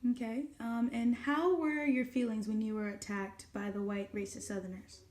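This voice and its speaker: A woman's voice: fairly high pitch, fairly even intonation, moderate pace, darkish tone. A young woman delivering a scripted news report as a student reporter and interviewer. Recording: roomy echo with background noise.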